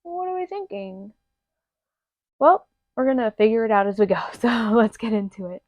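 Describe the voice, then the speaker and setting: A woman's voice: fairly high pitch, big pitch swings, moderate pace, darkish tone, no echo. Young woman doing a makeup tutorial, kinda nasal from allergies, says like a lot, slightly muffled dry audio. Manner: chatty, lots of "like" fillers. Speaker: beauty vlogger. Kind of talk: casual makeup tutorial chat.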